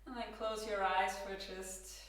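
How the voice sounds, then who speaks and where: A woman with an Austrian accent leads a yoga practice at an unhurried pace. She speaks with gentle, calm cues. Her voice comes from a bit away from the mic, in a strongly echoing room. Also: medium pitch, distant mic. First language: German. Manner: calm, gentle cueing with soft laughs. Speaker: yoga instructor. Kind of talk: guided yoga class. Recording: roomy echo, from across the room.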